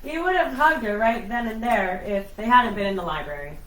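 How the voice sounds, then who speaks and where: A woman's voice: on the high side, lively intonation, normal speed, fairly bright tone. Woman narrator in an echoey room. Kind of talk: scripted narration at a live novel reading. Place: live reading in a bookstore. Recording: roomy, distant mic, faint noise.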